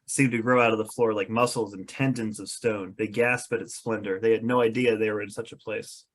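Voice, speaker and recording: A man's voice: lowish voice, fairly even intonation, a little fast. A man reading stage directions aloud as narrator at a script table read.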